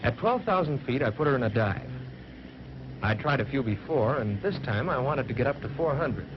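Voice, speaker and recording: A man's voice: medium pitch, expressive, moderate pace, close to an everyday voice. A man playing a young American fighter pilot, narrating a 1940s military training film in a plain-spoken, folksy first-person way. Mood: matter-of-fact, confident. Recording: dull, very noisy 1940s film soundtrack.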